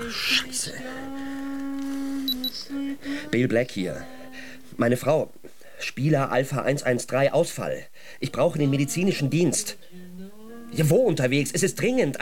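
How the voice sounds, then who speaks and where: A man's voice: medium pitch, sing-song, takes it slowly, long pauses. A man acting in a German radio drama as a tense, officious husband and dutiful official, speaking in a clipped, urgent way. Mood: alarmed, urgent.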